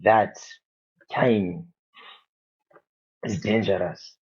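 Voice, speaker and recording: A man's voice: lowish voice, fairly even intonation, extremely slow, darkish tone, breathy edge. Man, grew up in Zimbabwe, podcast guest, playful teasing, dull lo-fi recording. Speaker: guest musician. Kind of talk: casual podcast chat.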